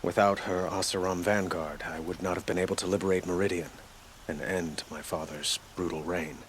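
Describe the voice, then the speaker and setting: A man playing an earnest, troubled young king in a video game, speaking in a measured, courtly, formal way. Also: deep voice, steady tone, a little hiss or hum, natural voice. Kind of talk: video game cutscene acting.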